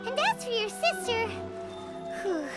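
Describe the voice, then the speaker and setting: Super squeaky little fairy girl, chatty and curious, bouncy anime game voice, tinkly music behind. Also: very animated, a little slow, full character voice. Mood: eager, curious. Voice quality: squeaky, childlike. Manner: speaks of self in third person. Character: tiny childlike female fairy companion, chatty, eager, curious. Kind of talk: anime video game character acting.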